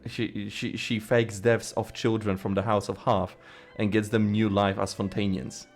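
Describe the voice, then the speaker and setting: A man giving casual gameplay commentary as a streamer, rambling and theorizing excitedly, swearing now and then. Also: on the low side, a little slow, faint music behind. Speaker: game streamer.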